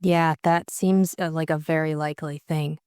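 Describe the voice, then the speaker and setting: A woman's voice: low-pitched, steady tone, normal speed, darkish tone, clean tone, dry recording, clean studio sound. A young woman co-hosting a casual book discussion, explaining her thoughts carefully. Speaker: audiobook co-host and narrator.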